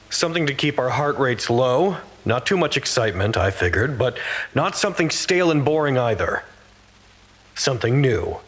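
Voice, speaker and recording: An earnest, anxious radio reporter. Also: male voice, medium pitch, fairly bright tone. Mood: measured, musing. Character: earnest, anxious male facility worker radioing reports to command. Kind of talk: audio drama monologue.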